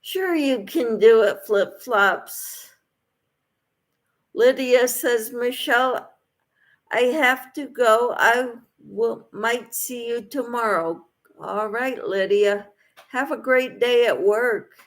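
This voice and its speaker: A woman's voice: on the high side, slow. A woman hosting a casual livestream, reading out viewers' comments and replying to them in an encouraging way. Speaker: livestream host.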